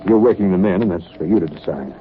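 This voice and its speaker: An actor playing a steadfast, grave Arctic expedition captain in a 1930s radio drama, speaking in a measured, commanding way. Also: male voice, low voice, animated. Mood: resolute. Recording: muffled, noisy 1938 radio broadcast recording.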